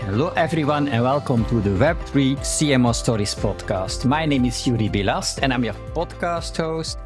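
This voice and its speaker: A man's voice: mid-range voice, expressive, normal speed, music in the background. A man hosting a podcast interview, asking loose, rambling questions. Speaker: podcast host. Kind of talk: conversational interview.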